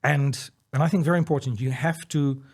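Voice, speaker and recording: A man's voice: mid-range voice, fairly even intonation, a little fast, darkish tone. Man, native Dutch speaker, interview guest, earnest, encouraging, restarts often, light laughs between points. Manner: earnest and encouraging, frequent restarts and repeated words. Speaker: interview guest, dyslexia educator. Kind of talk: casual interview conversation.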